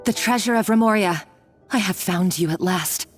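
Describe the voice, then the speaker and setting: An actress playing a scheming villainess in a game, obsessed with treasure, grandiose and melodramatic in manner. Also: female voice, mid-range voice, normal speed, close to an everyday voice. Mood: gloating. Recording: music behind.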